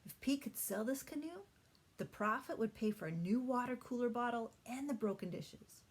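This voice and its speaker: A woman teacher narrating a children's storybook aloud in an expressive storytelling manner. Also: a little high, moderate pace, husky. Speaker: third-grade teacher reading aloud.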